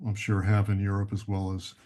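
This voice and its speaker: Mellow low-voiced male author from the US. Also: monotone, a little fast. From United States. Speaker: author and guest. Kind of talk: interview answers.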